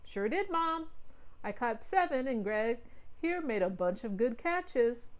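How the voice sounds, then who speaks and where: Lady doing a chatty kid voice, bit higher, eager. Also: very animated, slow pace. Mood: cheerful. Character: boy ballplayer, cheerful and chatty.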